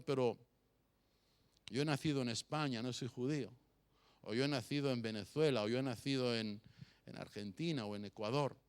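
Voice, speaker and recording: A man preaching a sermon as a pastor, emphatic and repeating phrases for effect. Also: medium pitch. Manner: rhetorical questions addressed to listeners.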